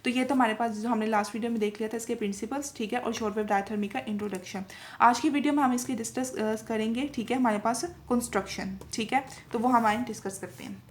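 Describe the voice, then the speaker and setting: Female tutor, voice on the high side; informal teaching delivery that repeats points and mixes in English terms. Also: fairly even intonation, fast talker, slight background noise. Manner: repetitive, with frequent check-in tags; switches between Urdu-Hindi and English technical terms. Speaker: lecturer in physiotherapy video lessons. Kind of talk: informal explanatory lecture.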